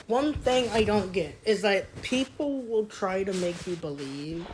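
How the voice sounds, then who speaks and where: An eighteen-year-old woman with a youthful, childish-sounding voice, chatting casually and rambling on a gaming stream, with lots of fillers and throat clearing. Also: medium pitch, expressive, a little slow, a little mellow, a little hiss or hum.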